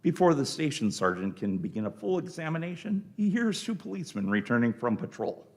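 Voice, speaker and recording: A man narrating a scripted radio play in a theatrical, deliberate way. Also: on the high side, very animated.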